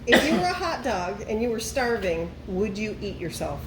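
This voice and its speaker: A woman's voice: mid-range voice, big pitch swings, normal speed. A woman reading fan questions aloud to a friend in a plain, matter-of-fact way. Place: brewery. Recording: roomy echo with ambient noise.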